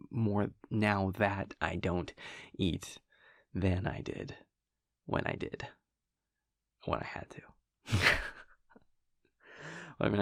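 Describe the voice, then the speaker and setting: A man playing an easygoing, affectionate vampire boyfriend in an intimate audio roleplay, rambling and hesitant in a husky, gravelly voice. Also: lowish voice, no room sound, studio quality, heavily acted. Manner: rambling, hesitant, soft chuckles between thoughts. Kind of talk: intimate audio roleplay, naturalistic monologue to a partner.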